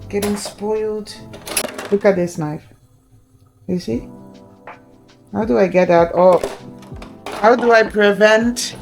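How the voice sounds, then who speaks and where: A Dutch woman with a Dutch accent, whose first language is Dutch, chatting casually to her viewers while cooking in her home kitchen. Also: medium pitch. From Netherlands. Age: adult with children. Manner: chatty, addresses viewers directly, mixes in Dutch words. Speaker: cooking vlogger. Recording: echoey kitchen with background music.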